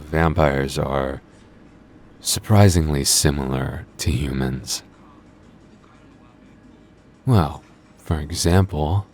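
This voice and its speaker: Very deep-voiced, teasing young vampire guy, acted by a man. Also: a little mellow, exaggerated voice. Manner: hesitant stammering, sardonic banter. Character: flustered teasing young vampire convenience store coworker, male. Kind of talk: ASMR roleplay audio drama.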